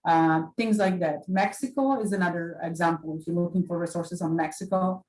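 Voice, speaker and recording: Low-voiced woman. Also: moderate pace, a little mellow, echoey room, low-quality recording. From Poland. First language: Polish. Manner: hesitant, many fillers and restarts. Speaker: librarian. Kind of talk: library orientation talk.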